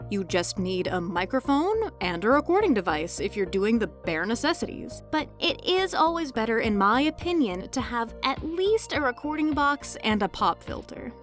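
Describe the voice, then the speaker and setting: A woman narrating a scripted tutorial as host, with a playful, cheeky manner and joking asides. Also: medium pitch. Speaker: host, indie voice actor giving tutorial. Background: background music.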